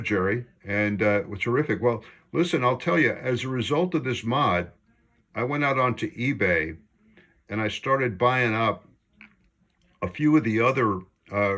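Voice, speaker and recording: A man's voice: on the low side, pushed and bright, dull audio. A man chatting casually as an amateur radio operator, enthusiastic and appreciative in manner. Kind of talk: casual ham radio conversation.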